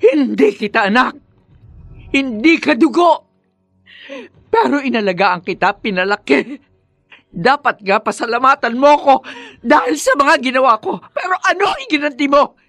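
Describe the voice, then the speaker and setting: A woman's voice: high-pitched, lively intonation, moderate pace, fairly bright tone, dry recording, faint music behind, exaggerated voice. An actress playing a spiteful, self-righteous middle-aged mother, scornful and melodramatic, in a radio drama. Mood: bitter rage. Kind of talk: Filipino radio drama villainess acting.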